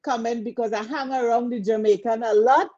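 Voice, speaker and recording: A woman from Trinidad with a Trinidadian accent, chatting casually in an animated, colloquial way. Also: fairly high pitch, a little fast, highs cut off. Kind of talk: casual chat.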